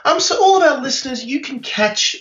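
A man's voice: very high voice, animated, brisk, fairly bright tone, muffled recording, a little hiss or hum. A man hosting a podcast, upbeat and enthusiastic with frequent fillers. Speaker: podcast host. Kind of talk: casual podcast chat.

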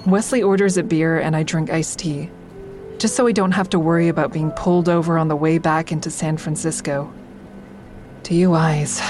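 A woman's voice: low voice, close to an everyday voice. A woman playing an awkward, smitten survivor who narrates her own story in an audio drama, confiding and self-deprecating.

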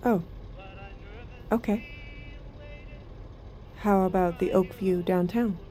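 An actress playing an enigmatic, detached young escort in an audio drama, soft and measured, with a naive, dangerous edge. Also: female voice, medium pitch, steady tone, natural voice. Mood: reserved, curious. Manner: soft, measured, sparse short replies. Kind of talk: first-person audio drama narration and dialogue.

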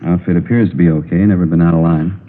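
An actor with an American accent playing a brisk, authoritative police captain in a 1950s radio drama, matter-of-fact, on a muffled old recording. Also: male voice, sits low, fairly even intonation. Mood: brisk, official. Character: brisk male police captain briefing his detectives.

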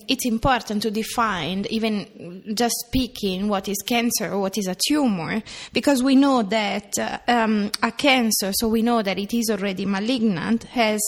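Italian-accented woman explaining carefully. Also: medium pitch. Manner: careful, explanatory, with some restarts. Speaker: veterinary oncology clinician. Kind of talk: podcast interview, explaining.

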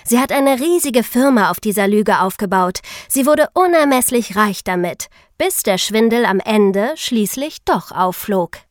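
A woman's voice: medium pitch, lively intonation, unhurried, few pauses, no room sound, clean studio sound. A young woman co-hosting a podcast, explaining things to young listeners in a casual, youthful way. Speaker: podcast host.

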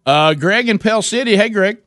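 A man's voice: high voice, normal speed, fairly bright tone, no room sound, studio quality. A man hosting a call-in radio show, talking conversationally and circling back to rephrase as he explains his point. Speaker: radio talk show host.

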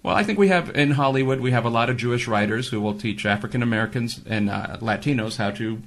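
A man co-hosting a talk show and working as a voice actor, explaining history in a steady, storytelling way. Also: mid-range voice, fast. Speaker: co-host, voice actor.

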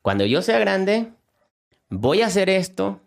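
A man's voice: high voice, big pitch swings, a little slow, vocal fry. A young man from Ecuador, who rescues animals. He tells his story in an earnest, heartfelt way and often repeats words when he is moved. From Ecuador, coastal Manta. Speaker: animal rescuer and content creator. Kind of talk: personal storytelling in an interview.

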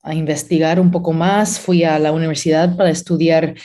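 A woman opera stage director raised in Pennsylvania, answering interview questions thoughtfully with many pauses and restarts. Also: low voice, little pitch movement, warm tone. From Pennsylvania, USA.

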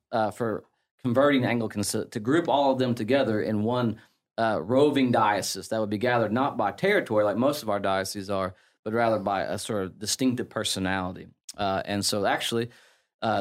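A middle-aged man with an English Midlands accent, a priest explaining things in relaxed conversation, full of fillers and restarts. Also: mid-range voice. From England. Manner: chatty, many fillers and restarts, laughs easily. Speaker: priest guest.

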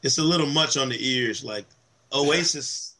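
A man with a slightly hoarse, worn voice co-hosts a casual sports podcast. He tells stories with animated enthusiasm, full of fillers and restarts, at an everyday middle pitch. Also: normal speed. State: voice worn from travel. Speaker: podcast co-host.

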